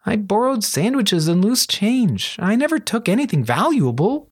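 A man's voice: high voice, very animated, moderate pace, husky, no room sound, studio quality, voice pushed up, exaggerated voice. A man voicing a defensive, self-deprecating young wizard schoolboy who protests and mumbles, in a storybook reading. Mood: exasperated.

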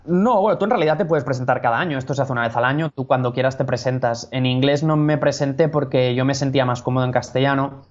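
A man's voice: mid-range voice, quick pace, few pauses. A man with a Spanish accent from Spain chats as a public speaking coach. He talks thoughtfully and restarts often. The recording sounds dull and lo-fi. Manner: thoughtful, many restarts, laughs easily. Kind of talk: casual interview chat.

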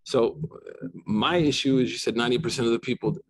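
A man's voice: mid-range voice, brisk, poor audio. A middle-aged man giving an informal lecture on Islamic rulings, talking conversationally with many restarts and asides. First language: not Arabic.

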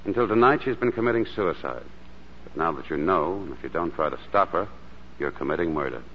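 An actor playing a grave, protective doctor with a measured manner, in 1940s radio drama style. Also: male voice, low voice, lively intonation, a little hiss or hum. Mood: stern, urgent. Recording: dull 1940s radio recording, loud music behind.

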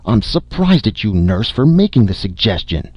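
Man voicing a haughty young mother, pitched up. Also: big pitch swings, gravelly, slightly rough, no echo, full character voice. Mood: sharp indignation. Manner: brisk, sharp, indignant. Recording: muffled audio.

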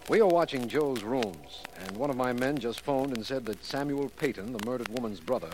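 An actor in a 1940s radio drama playing a self-assured district attorney, formal, brisk and declarative. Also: male voice, mid-range voice, lively intonation, close to an everyday voice. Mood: official, narrating. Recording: noisy old 1940s radio recording.

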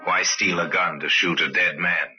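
An actor narrating an old radio crime drama as a grave, knowing storyteller, deliberate and ominous in his phrasing. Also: low voice, steady tone, over loud music, lightly acted. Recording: dull vintage radio recording.

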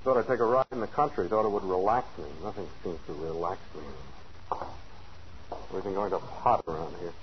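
Actor as a low, sullen husband. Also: male voice, fairly quick, close to an everyday voice. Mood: sullen, needling. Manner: sullen, weary. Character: gloomy, sardonic, weary husband. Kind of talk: 1940s radio drama acting. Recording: muffled, hissy old radio recording.

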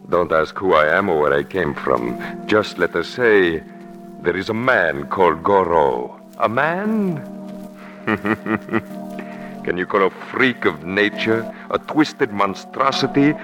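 A bitter, malformed villain of old-time radio, brooding in a very low voice. He speaks slowly and theatrically, posing dark rhetorical questions over loud dramatic music. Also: expressive, highs cut off. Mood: menacing, proud. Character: bitter twisted villain puppeteer with withered legs. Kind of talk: 1940s radio drama monologue. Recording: 1940s radio broadcast, loud music behind.

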